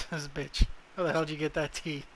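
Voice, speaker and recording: A man's voice: fairly high pitch, little pitch movement, moderate pace, slight background noise, poor audio. A man giving casual, sarcastic and profane commentary as he streams a video game.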